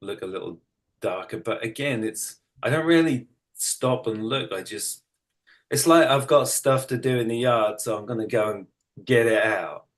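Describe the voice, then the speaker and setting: A man's voice: medium pitch, moderate pace. A middle-aged British man talking casually as an interview guest, explaining things in a matter-of-fact, self-deprecating way. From United Kingdom. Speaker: interview guest, energy practitioner and massage therapist.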